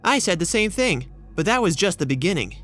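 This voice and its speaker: A man's voice: sits high, sing-song, fairly quick, fairly bright tone. An earnest young reporter in an audio drama. He speaks politely and hesitantly, tripping over his words with nerves. Faint music plays behind him. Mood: helpful.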